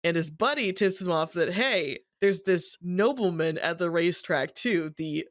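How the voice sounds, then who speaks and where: A podcast co-host speaks at a medium pitch in a very dry, close recording. The delivery is a casual story recap, told in colloquial phrasing with some swearing. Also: unhurried, dry recording.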